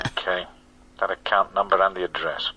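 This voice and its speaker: An actor playing a ruthless, sardonic criminal in a radio drama, talking in terse, demanding bursts. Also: male voice, low voice, steady tone, moderate pace, pushed and bright, slightly rough, exaggerated voice, rough recording. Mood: sardonic, impatient. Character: ruthless, sardonic male criminal bargaining hard.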